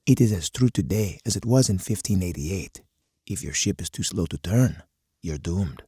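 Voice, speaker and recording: An actor playing a hard, commanding older colonel in a strategy game, husky and gravelly, with stern, deliberate oratory. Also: male voice, deep voice, lively intonation, mellow, no room sound, clean studio sound, exaggerated voice. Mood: grave lecturing. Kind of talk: dramatic scripted game character monologue.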